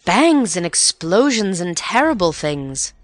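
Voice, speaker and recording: A woman's voice: fairly low pitch, sing-song, slow pace, no echo, soft background music. A woman voicing a nervous older aunt who frets and dramatizes. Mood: fretful.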